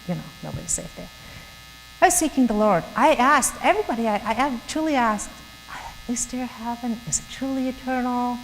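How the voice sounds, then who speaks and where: A woman's voice: a little high, expressive, fairly quick, creaky. A middle-aged woman from Germany with a German accent, giving an earnest, chatty church talk to young professionals and laughing often. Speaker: guest speaker. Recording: hall reverb with faint mains hum.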